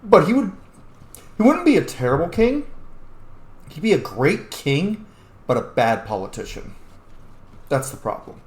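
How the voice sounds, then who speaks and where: A man's voice: fairly high pitch, very animated, measured pace, pauses often, echoey room. A man playing a roleplaying game, commenting in a chatty, informal way and reading out his character's dialogue. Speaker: gamer, roleplay series host.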